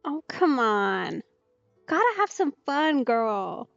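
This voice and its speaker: A woman's voice: sits high, very animated, takes it slowly, creaky, no echo. A woman giving casual let's play commentary, musing and reacting aloud in short remarks, in slightly muffled stream audio. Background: soft game music.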